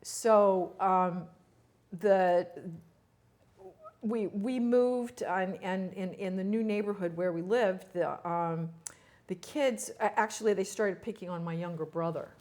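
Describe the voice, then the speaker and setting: A woman's voice: mid-range voice, darkish tone. An older woman, born in the US to Ukrainian-speaking parents, telling stories about her childhood as an interview guest, with frequent fillers, restarts and small laughs. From United States. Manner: halting, many fillers and restarts, laughs at herself. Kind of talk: reflective personal storytelling.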